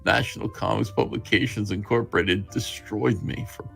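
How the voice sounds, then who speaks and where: A man's voice: on the low side, fairly even intonation, moderate pace, gravelly, fairly bright tone, soft background music, voice pushed down. A man voicing a bitter, destitute, wronged writer, reading his angry letters aloud in a melodramatic, theatrical way. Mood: broken, bitter. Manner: melodramatic, theatrical, swinging from outrage to near-whisper.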